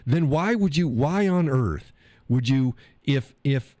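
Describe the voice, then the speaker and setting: A man's voice: mid-range voice, lively intonation, slow, darkish tone, no room sound. Blunt profane man hosting a live stream. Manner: blunt, profane, repeats phrases for emphasis; reads viewer chat comments aloud. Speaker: host of a live stream show. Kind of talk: casual opinionated live-stream commentary.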